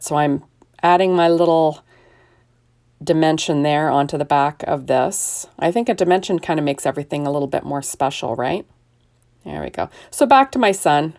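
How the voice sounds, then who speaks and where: Older woman host, low husky rough voice with fry, chatty self-deprecating craft tutorial. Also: a little mellow. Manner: chatty, self-deprecating, narrates each step with "there we go". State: hoarse, recovering from illness. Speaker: card-making video host. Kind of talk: casual crafting tutorial narration.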